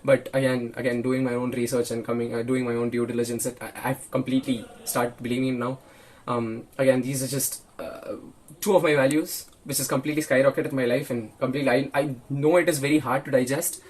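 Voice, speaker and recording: A man's voice: medium pitch, level tone, fairly quick, low-quality recording. A nineteen-year-old man talking earnestly and bluntly to the camera, often repeating and restarting his words. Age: young adult, 19. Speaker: video creator. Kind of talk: casual solo talk to camera.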